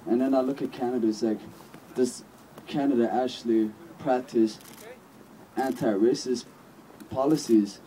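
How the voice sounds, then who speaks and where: Young man, mellow voice, from a distance. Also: mid-range voice, steady tone, normal speed, pauses often. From United States. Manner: personal, questioning, casual "like" fillers. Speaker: student speaking at a rally. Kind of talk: personal rally speech. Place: outdoor rally in a park.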